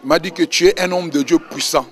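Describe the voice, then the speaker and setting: A man's voice: fairly high pitch, fast talker, fairly bright tone. A man from the Congo with a Congolese accent, an apostle giving an emphatic personal testimony in a religious interview. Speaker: apostle, church minister.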